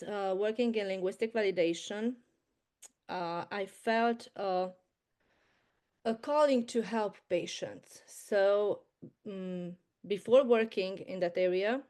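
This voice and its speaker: A woman with a Hungarian accent, a medical translator speaking as a guest on a video call, softly and with many hesitations. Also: medium pitch, slow pace. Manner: soft-spoken, hesitant, many fillers.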